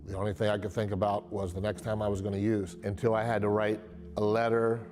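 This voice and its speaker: A man's voice: fairly low pitch, level tone, fast, a little mellow. A middle-aged American man speaking candidly in an interview, in plain words and often repeating phrases. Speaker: interviewee, dog trainer and former police officer.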